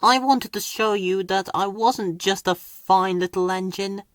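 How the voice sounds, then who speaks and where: A man's voice: sits high, unhurried, fairly bright tone, no echo, clean studio sound, pitched above the performer's own voice. A man voicing a proud, stubborn, easily huffy little tank engine in a children's storybook. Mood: dejected, upset. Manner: huffy, puffing, emphatic.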